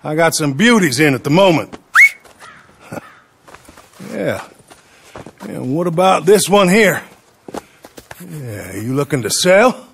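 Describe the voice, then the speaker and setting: Affable American horse dealer. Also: male voice, a little high, very animated, slow, gravelly, long pauses, a little hiss or hum, exaggerated voice. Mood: eager, friendly. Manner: salesmanlike, chatty. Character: affable, folksy Old West horse dealer.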